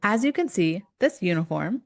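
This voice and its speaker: A bubbly teenage girl star from a comedy, acted by a woman. She is chirpy and showy, with big swings in pitch. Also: very animated, normal speed, vocal fry. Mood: perky. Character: bubbly fourteen-year-old celebrity twin girl. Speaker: actor reading at a script table read.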